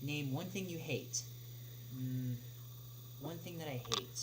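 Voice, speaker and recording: A man chatting casually on a livestream as he answers viewer questions, speaking haltingly with long pauses. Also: fairly high pitch. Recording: noisy livestream audio with clear hum.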